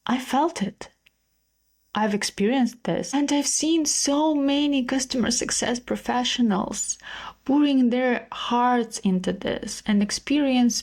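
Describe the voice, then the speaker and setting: Slow, earnest Ukrainian-accented woman host. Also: mid-range voice, a little mellow. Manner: earnest, slightly halting non-native phrasing. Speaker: podcast host. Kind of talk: solo podcast monologue.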